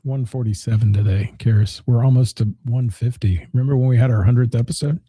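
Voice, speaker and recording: Radio co-host, hoarse, very dark husky tone, dry studio sound. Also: male voice, medium pitch, fairly quick, dry recording. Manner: joking, rambling, with restarts. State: losing his voice. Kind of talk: casual on-air chat, reading listener comments.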